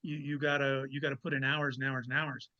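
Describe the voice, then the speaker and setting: A man's voice: medium pitch, flat delivery, fairly quick, pushed and bright, muffled recording. A man speaking casually as an author guest in an interview, giving emphatic advice and repeating words as he goes.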